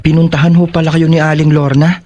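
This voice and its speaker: An actor playing a loyal young friend in an audio drama, deferential and imploring. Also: male voice, fairly high pitch, flat delivery, fast, over music, lightly acted. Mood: concerned. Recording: dull, muffled and noisy recording.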